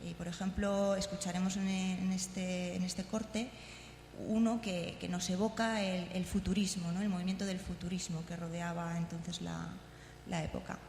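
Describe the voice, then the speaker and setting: A woman from gives an informal talk introducing audio clips, haltingly and repeating words as she explains. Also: fairly low pitch, little pitch movement, vocal fry, a little mellow. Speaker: conference speaker. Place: large indoor congress hall. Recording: echoey hall, long reverb, faint noise.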